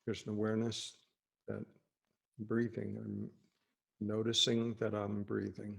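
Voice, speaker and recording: Low, gravelly man guiding meditation. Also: completely flat delivery. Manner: gentle, halting, reassuring delivery with pauses. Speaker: meditation teacher. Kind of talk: guided meditation, unscripted spoken instruction.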